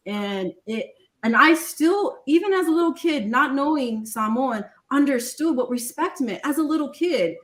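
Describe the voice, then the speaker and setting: A woman, a guest on a live panel, speaking emphatically and repeating her points. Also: fairly high pitch. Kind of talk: casual live panel discussion. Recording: roomy echo.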